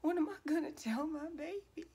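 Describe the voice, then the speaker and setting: A woman's voice: high voice, a little fast. A woman performing a dramatic monologue as a distraught, guilt-ridden single mother. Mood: tearful, despairing. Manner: hushed and breathy, breaking into anguished outbursts.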